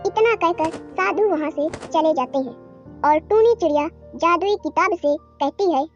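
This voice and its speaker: A woman's voice: extremely high pitch, a little slow. A woman narrating a scripted children's cartoon story. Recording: dull audio over soft music.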